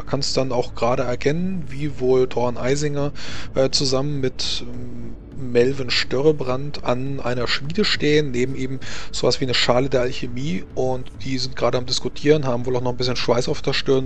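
A man narrating as game master of a tabletop role-playing game, speaking haltingly as he thinks aloud. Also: medium pitch, vocal fry, slight background noise.

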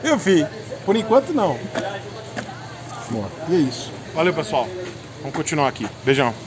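A man's voice: on the high side, big pitch swings, normal speed. A man in his thirties from Brazil chatting casually and joking with viewers while on a motorcycle road trip outdoors, recorded on an action camera. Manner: joking, laid-back, talks to viewers. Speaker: motorcycle vlogger. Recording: music playing behind.

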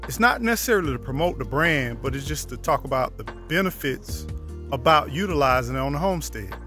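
A man's voice: medium pitch, brisk, soft background music. A man, casually vlogging about his homestead outdoors, filmed on a handheld camera at arm's length. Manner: relaxed, conversational, drops to near whisper at times. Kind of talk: casual walking vlog. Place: outdoors on a wooded rural homestead.

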